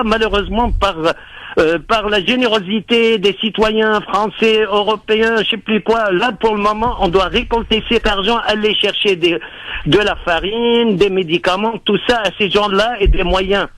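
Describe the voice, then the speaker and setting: A man from Lebanon calling in to a radio show, talking in a halting, rambling way. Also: high voice, fairly bright tone, no room sound, poor audio. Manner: rambling, halting, heavy pauses. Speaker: radio caller. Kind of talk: call-in radio talk.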